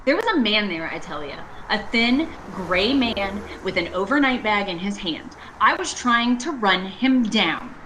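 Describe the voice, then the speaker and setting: A woman's voice: medium pitch, animated, a little slow, few pauses, a bit bright, slight background noise, poor audio. A woman playing a frightened, desperate lady driver in a radio thriller, urgent and dramatic. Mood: insistent. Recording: music behind the voice.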